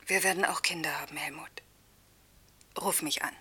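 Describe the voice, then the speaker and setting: Radio play casting: needy lovestruck female teacher, husky whispery low voice with a tense, sharp edge. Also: fairly even intonation, moderate pace, heavily acted. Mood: tender, insecure. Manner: breathy, needy, pleading, often whispering. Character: anxious lovestruck female teacher, secretly phoning her lover. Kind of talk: German radio play acting.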